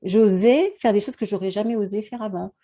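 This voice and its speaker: A woman's voice: medium pitch, a little fast, mellow. A woman from France hosting an interview, talking earnestly and at length, with many fillers like "euh" and "voilà". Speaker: host. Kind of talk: casual conversation.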